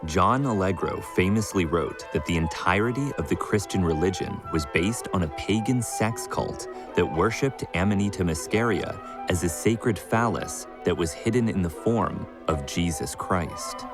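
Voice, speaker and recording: A man's voice: low-pitched, animated, moderate pace, few pauses, music in the background. A man delivering scripted documentary narration.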